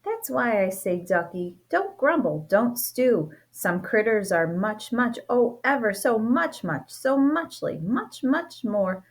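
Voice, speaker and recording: A teacher reading a rhyming children's book aloud, playful and expressive, with a sing-song lilt. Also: female voice, on the high side, slow pace, hardly any pauses, breathy edge. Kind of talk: storybook read-aloud.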